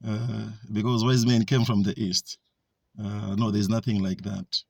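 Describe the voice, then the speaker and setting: A man with a Zambian accent gives an informal talk, pausing often on fillers and restarting his sentences. His voice is gravelly and a little husky, at a middle pitch. The sound is dry and close, with no room echo. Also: level tone, darkish tone. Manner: hesitant, with frequent fillers and restarts. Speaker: speaker giving a talk.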